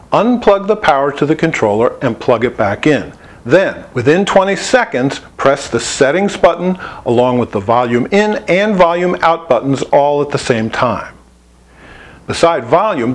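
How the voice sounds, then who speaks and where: A man giving a scripted instructional narration, explaining each step plainly as an instructor. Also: mid-range voice. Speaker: instructor presenting an installation tutorial.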